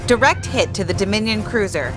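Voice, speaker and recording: Woman as crisp, dutiful starship ensign, loud music. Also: mid-range voice, animated, fairly quick, natural voice. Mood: alert, dutiful. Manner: crisp military reporting. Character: brisk, dutiful female starship ensign at weapons station. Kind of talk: sci-fi audio drama acting.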